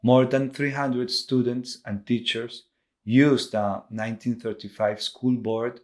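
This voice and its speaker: A man with a Colombian accent, a native Spanish speaker, giving a formal, measured scripted narration as an artist presenting projects. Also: medium pitch. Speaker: visual artist.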